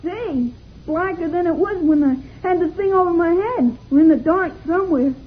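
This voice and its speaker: Very high, plucky young boy. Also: normal speed. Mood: urgent, eager. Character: eager young boy on a space adventure. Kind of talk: old-time radio serial acting. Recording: tinny noisy old radio recording.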